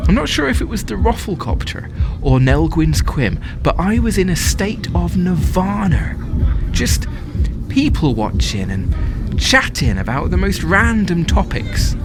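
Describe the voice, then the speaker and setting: A smitten young man at a festival, telling his story in a confiding, wry way. His pitch swings widely from line to line, and prominent music plays behind him. He sounds hedonistic yet unsure of himself, in the manner of an audio drama monologue. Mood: giddy, smitten. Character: smitten, self-doubting, hedonistic young male festival-goer. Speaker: actor performing a monologue.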